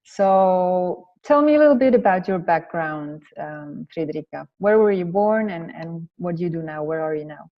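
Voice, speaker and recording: A woman's voice: lowish voice, lively intonation, moderate pace, few pauses, long reverb tail, dull audio. A woman hosting an interview, asking questions in a friendly, slightly hesitant way. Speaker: interviewer, host. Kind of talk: casual interview.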